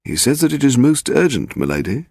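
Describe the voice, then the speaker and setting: A man's voice: mid-range voice, very animated, brisk, creaky, a little mellow, husky, no room sound, studio quality, voice pushed up, heavily acted. A man voicing a deferential, formal English butler in an audiobook mystery. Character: deferential formal male butler at country house.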